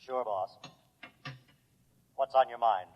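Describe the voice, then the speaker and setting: An actor playing an obliging, matter-of-fact household robot in a 1950s radio drama. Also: male voice, mid-range voice, slow, lightly acted. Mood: breezy. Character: obliging, unflappable male household robot who builds robots. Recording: dull old radio recording, long reverb.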